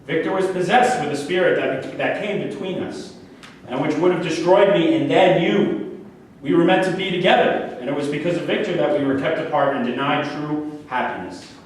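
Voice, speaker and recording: High-pitched deluded killer, echoey and distant man acting. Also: moderate pace, close to an everyday voice. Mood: pleading, earnest. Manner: insistent, self-righteous. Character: deluded, self-justifying murderer, obsessive and pleading man. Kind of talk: staged play reading. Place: echoey room at a staged reading, far microphone. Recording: very echoey room, distant mic, faint noise.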